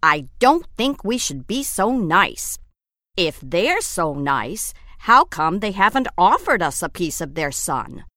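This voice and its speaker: A woman's voice: on the low side, big pitch swings, slow pace, sharp tone, no room sound, studio quality, exaggerated voice. A woman doing a sly trickster coyote. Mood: protesting. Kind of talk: storytelling.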